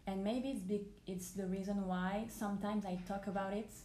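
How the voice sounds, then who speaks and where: Woman, 20s, native French speaker, relaxed and dryly teasing; mellow voice in a roomy space with faint ambience. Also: medium pitch, moderate pace, slight background noise. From France. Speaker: podcast guest. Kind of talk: casual conversation.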